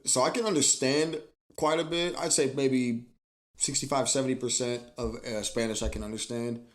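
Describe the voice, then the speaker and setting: Host, man, mid-pitch, friendly but halting, lots of restarts. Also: steady tone. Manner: hesitant, halting, full of restarts. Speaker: podcast host. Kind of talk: casual interview chat.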